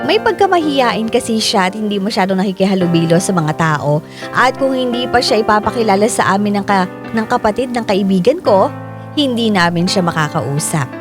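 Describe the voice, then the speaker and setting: A woman's voice: medium pitch, lively intonation, brisk. A woman delivering scripted first-person storytelling as the letter narrator of a radio drama. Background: music.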